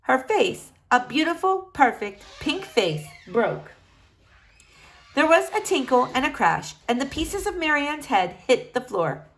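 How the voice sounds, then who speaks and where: Woman, children's book read-aloud, expressive storyteller, slightly high voice, lively intonation. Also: unhurried. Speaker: reader.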